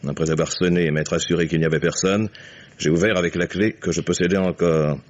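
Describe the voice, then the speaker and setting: Shrewd, commanding older father, very low register, measured and ironic, old radio mystery style, muffled sound. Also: steady tone. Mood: grave, measured. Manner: courteous, deliberate, suavely ironic. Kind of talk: 1950s French radio mystery acting. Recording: dull vintage radio recording.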